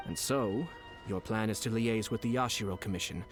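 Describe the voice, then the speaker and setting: A courteous, calculating male aristocrat, played by an actor, speaks in a low, composed voice. His game dialogue is formal and persuasive, measured over background music. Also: close to an everyday voice. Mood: shrewd, composed. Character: calm, shrewd, courteous noble commissioner, male strategist. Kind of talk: video game voice acting.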